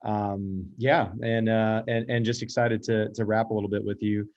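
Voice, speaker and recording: A man from the Texas Gulf Coast co-hosting a casual podcast, talking in halting bursts full of fillers and restarts. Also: fairly low pitch, little pitch movement, darkish tone, no room sound, highs cut off. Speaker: co-host.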